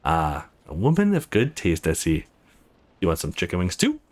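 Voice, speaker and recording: A man's voice: low-pitched, wildly swooping pitch, normal speed, voice pushed down. A man voicing an upbeat, friendly young protagonist in a visual novel, playful and coaxing.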